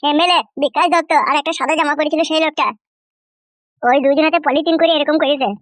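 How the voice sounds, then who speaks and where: A woman's-sounding voice plays a naive, whiny village husband in a comic cartoon. He is high-pitched and very fast, pleading stubbornly and bumbling his words, close and dry. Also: steady tone, no room sound, close to an everyday voice. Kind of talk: exaggerated comic cartoon dialogue.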